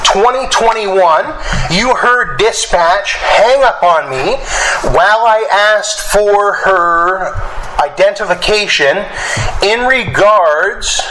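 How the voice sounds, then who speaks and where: A young man with a fairly high voice makes his case over the phone. He rambles and halts, yet keeps pushing. The recording has clear noise throughout. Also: animated, pushed and bright, rough recording. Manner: halting, rambling, insistent. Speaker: caller recording a phone complaint to police dispatch. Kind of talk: phone complaint, spontaneous.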